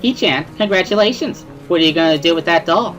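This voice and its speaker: A man voicing a bubbly, loud teenage schoolgirl who teases in a gushing, sing-song way. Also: fairly high pitch, a little fast, faint music behind, pitched above the performer's own voice, close to an everyday voice, rough recording. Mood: teasing.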